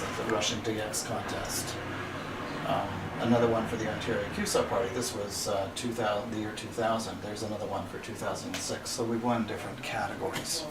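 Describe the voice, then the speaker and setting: A man gives an informal explanatory walkthrough of a radio station, speaking in a halting, matter-of-fact way. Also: lowish voice. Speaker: radio club member giving a station tour. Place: indoor amateur radio station room. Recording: roomy, noisy recording, distant microphone.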